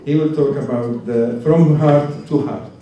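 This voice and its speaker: Slow, very dark-voiced man in an echoey church. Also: mid-range voice, steady tone. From outside Bahrain. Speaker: church event host introducing speakers. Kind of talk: informal public address. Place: large indoor church hall. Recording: very echoey church, distant mic.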